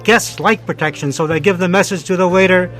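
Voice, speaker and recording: High-voiced, cynical wisecracking bellhop. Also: male voice, steady tone, brisk, a bit bright, faint music behind. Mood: cynical, wry. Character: wisecracking, cynical, bribable male hotel bellhop. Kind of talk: detective radio drama acting.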